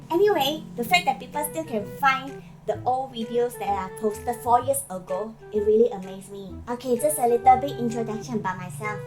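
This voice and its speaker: A young Malaysian woman talks straight to her viewers in a casual, playful way. Her English carries a Malaysian lilt, and her voice sits fairly high, springing now and then into loud, animated bursts. Clear background music runs under her voice the whole time. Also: fairly quick, rough recording. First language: Chinese. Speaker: vlogger. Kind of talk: casual chat to camera.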